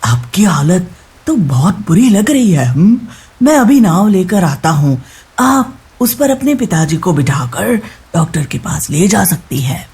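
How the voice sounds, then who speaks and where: A woman's voice: fairly low pitch, big pitch swings, measured pace, darkish tone, slightly rough, pitched below the performer's own voice, full character voice. A woman voicing a kind, practical village man who speaks with plain sympathy in a moral story. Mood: concerned.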